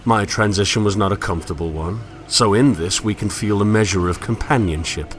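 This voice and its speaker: A man's voice: lowish voice, a little fast, slight background noise, lightly acted. A man playing a solitary, brooding wanderer who muses in formal, eloquent language in a scripted game monologue. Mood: brooding, intimate. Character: solitary, brooding male wanderer musing philosophically on a fallen world. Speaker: narrator. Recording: long hall reverb, music underneath. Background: music.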